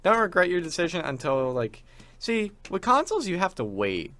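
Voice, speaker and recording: A young adult man co-hosting a talk show, chatting casually about gaming in a halting, informal way and repeating words. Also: high voice, big pitch swings, pushed and bright. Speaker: college radio show co-host. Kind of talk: casual chat about video games.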